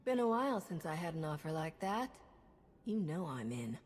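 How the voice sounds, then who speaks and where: Wry female smuggler captain; husky, expressive, dry humor. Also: low-pitched, moderate pace. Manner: wry, self-assured banter. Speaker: player character.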